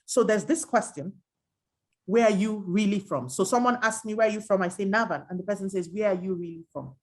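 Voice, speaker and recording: A woman's voice: medium pitch, a little fast. Middle-aged woman from nigeria, educator, earnest and emphatic, keeps quoting people. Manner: earnest, emphatic, quotes others in lively asides. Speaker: adult educator and researcher. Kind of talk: reflective dialogue.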